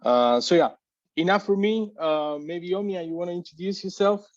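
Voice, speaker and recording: A man's voice: high voice, normal speed, highs cut off. A man giving a casual talk at a tech meetup, hesitant, with many fillers and restarts. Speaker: community organizer presenting.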